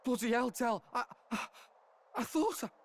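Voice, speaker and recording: A man playing a grieving, rough-spoken younger brother in a video game, halting and vulnerable. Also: very high voice, lively intonation. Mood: shocked disbelief. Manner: halting, stammering, emotional.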